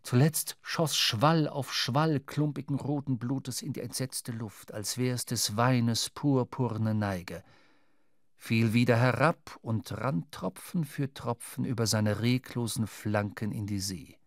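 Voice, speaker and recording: A man with a mid-pitched voice reads literary narration for a radio drama. He tells it in a measured, dramatic way, in a close, dry studio. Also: no room sound.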